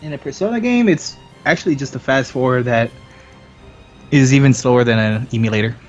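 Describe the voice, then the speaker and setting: A man's voice: mid-range voice, fairly quick, dull audio. A man co-commentating casually and jokily on a game stream, with game music behind him. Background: video game music.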